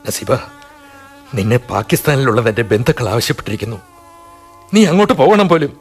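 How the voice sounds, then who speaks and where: A man's voice: medium pitch, sing-song, a little fast, soft background music, close to an everyday voice. A man playing a desperate husband in a radio drama, who pleads and sometimes rages. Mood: anguished. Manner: emotional, pleading, sometimes outraged. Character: desperate husband, middle-aged schoolmaster. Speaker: husband and narrator.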